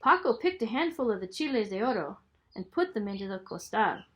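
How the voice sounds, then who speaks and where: A woman reading a children's story aloud in a dramatic, expressive storytelling manner. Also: medium pitch, a little fast. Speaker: storybook reader, school superintendent.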